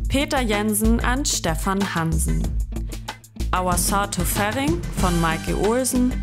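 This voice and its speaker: Female announcer, North Frisian accent, measured credits read, mid-range voice under prominent loud music. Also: mid-range voice. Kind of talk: scripted credits reading.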